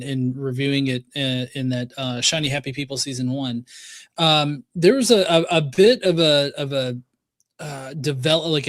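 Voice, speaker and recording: A man hosting a casual interview, feeling his way through meandering questions in a halting, hesitant manner. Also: on the high side, no echo, studio quality. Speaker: podcast host, interviewer.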